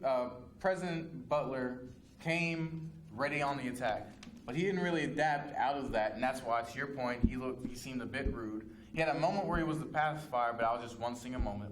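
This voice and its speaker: A young man, a student news correspondent, giving his emphatic opinions in a casual panel discussion. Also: medium pitch. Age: young adult. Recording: echoey room with clear background noise.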